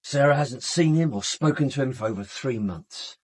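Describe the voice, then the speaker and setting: A supercilious British man in a radio murder mystery. He is cool and sardonic, with clipped, disdainful phrasing. His medium-pitched voice has a husky grain and sounds dry and close. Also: lively intonation, normal speed, no echo. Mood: guarded. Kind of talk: British radio drama acting.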